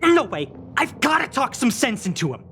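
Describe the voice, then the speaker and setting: A woman voicing a flustered, stubborn young video game character who cares deeply, with stammering, dramatic outbursts. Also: big pitch swings, moderate pace, a bit bright, soft background music, heavily acted. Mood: exasperated. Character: flustered, emotional, stubborn young person who hides caring. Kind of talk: expressive video game voice acting.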